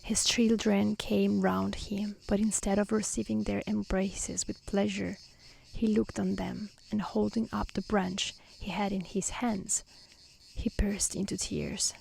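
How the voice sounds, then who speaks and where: A woman reading a fairy tale aloud in a soft, near-whispered ASMR style, gentle and calm. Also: mid-range voice, gravelly, husky. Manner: gentle, calm, unhurried delivery. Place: outdoors in nature.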